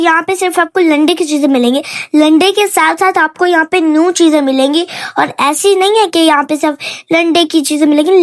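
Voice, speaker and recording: High-voiced chatty woman. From Pakistan. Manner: chatty, repeats phrases, playful asides. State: hoarse from a sore throat and fever. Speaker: vlogger. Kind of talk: casual vlog narration.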